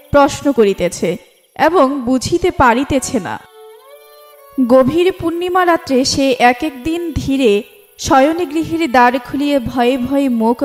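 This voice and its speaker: Female story narrator, high register, formal literary read over soft instrumental music. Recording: music bed under the voice.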